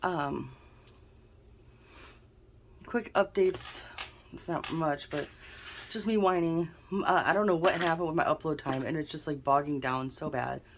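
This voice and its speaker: A woman with a notably deep, low voice, a bit snuffly and stuffed-up, chatting through a tarot card reading as she talks her thoughts aloud. Her tone stays relaxed and conversational. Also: a little slow, pauses often, slight background noise. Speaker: tarot reader, channel host.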